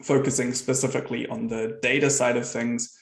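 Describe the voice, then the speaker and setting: A man with a New Zealand accent giving a relaxed, conversational talk as guest speaker at an online meetup, on a video call. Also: mid-range voice, a little fast, creaky, echoey room. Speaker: guest speaker, software founder.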